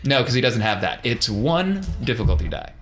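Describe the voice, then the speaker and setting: A man's voice: mid-range voice, big pitch swings, fairly quick, background noise. A man acting as game master, narrating a tabletop roleplaying game in a casual, explanatory way. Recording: faint music behind the voice.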